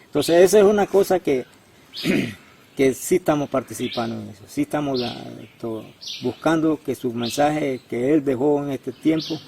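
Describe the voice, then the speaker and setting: Halting man giving a testimony. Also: medium pitch, creaky, mellow, a little hiss or hum. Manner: halting, many restarts and tag questions. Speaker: community activist. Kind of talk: testimonial interview.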